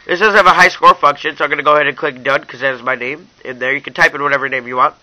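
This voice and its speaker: A man's voice: a little high, fairly even intonation, very fast, pushed and bright, no room sound, rough recording. A young man narrating a casual, hands-on app review in an informal way, talking straight to his viewers. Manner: informal, addresses viewers as you guys.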